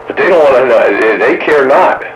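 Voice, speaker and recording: A man's voice: medium pitch, lively intonation, a little fast, lo-fi sound. A man chatting casually and jokingly over CB radio. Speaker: amateur radio operator. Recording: slightly noisy CB radio transmission.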